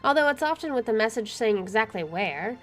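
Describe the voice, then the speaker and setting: A woman's voice: fairly high pitch, expressive, fairly quick. A woman streaming a game, reading visual novel narration aloud and adding casual asides. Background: soft music.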